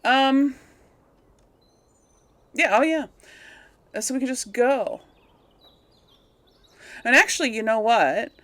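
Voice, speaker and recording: A woman's voice: on the high side, lively intonation, slow, long pauses, a bit bright, no echo. A woman hosting a casual let's play, chattily thinking aloud as she plays. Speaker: gaming video host.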